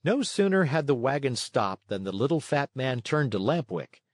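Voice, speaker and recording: A man reading a children's story as scripted narration, expressive in his storytelling. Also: mid-range voice, normal speed, no room sound, clean studio sound.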